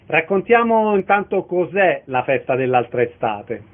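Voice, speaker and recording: Higher-voiced male radio host, narrow sound. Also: sing-song, normal speed. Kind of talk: radio interview presenting. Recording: thin, phone-like radio sound.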